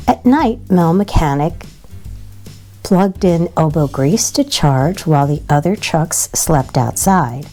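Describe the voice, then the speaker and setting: Slow, mellow woman reading a storybook. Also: low-pitched, expressive, soft background music. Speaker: children's storybook reader. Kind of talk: expressive read-aloud narration for children.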